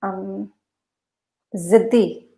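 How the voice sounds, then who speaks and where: A woman's voice: medium pitch, fairly even intonation, extremely slow, gravelly, dark tone. A woman whose native language is Urdu gives conversational motivational advice as a podcast guest, in an emphatic, instructive way that repeats phrases for effect. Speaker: podcast guest, mindset coach. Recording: echoey room.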